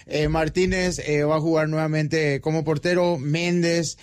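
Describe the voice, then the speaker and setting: A man's voice: fairly high pitch, level tone, a little slow. A man with a Paraguayan accent giving conversational football reports, repeating and rephrasing as he goes.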